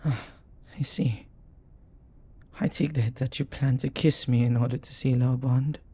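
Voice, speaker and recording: A man voicing a flirty, devoted demon in an intimate romantic audio roleplay, speaking formally and reverently in a husky voice that often drops to a whisper. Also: mid-range voice, fairly even intonation, warm tone. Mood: tender, curious. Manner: slow, formal, reverent phrasing. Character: jealous, flirty, devoted male demon bound to master.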